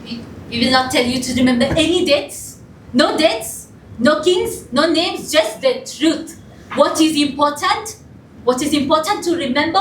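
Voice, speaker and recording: A woman puts on an old female French ghost with a put-on French accent and a high voice, hosting a history lecture with theatrical flair. She talks grandly and throws out rhetorical questions. It sounds far from the mic, on an echoey, noisy stage. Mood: rousing. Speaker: improv performer. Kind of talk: improv comedy. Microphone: distant room mic.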